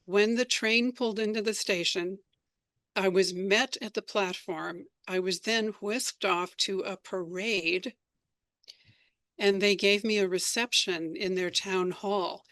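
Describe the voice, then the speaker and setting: A woman's voice: mid-range voice, steady tone, slow, fairly bright tone. A woman being interviewed, telling her recollections in an articulate, storytelling way. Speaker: interview guest, radio drama writer and performer. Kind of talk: reminiscing interview answers.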